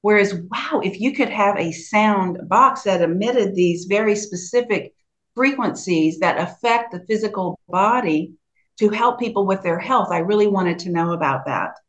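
A middle-aged woman from the United States with a soft Southern US accent answers interview questions slowly and deliberately. She leans on frequent fillers as she talks, and her voice sits at an ordinary middle pitch. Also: low-quality recording. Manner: slow, measured, with frequent fillers. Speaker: guest, sound healing practitioner.

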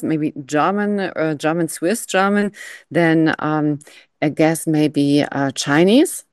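A woman hosting a radio interview, reading a formal introduction and asking questions with hesitant pauses. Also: sits low, dry recording, studio quality. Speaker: radio show host.

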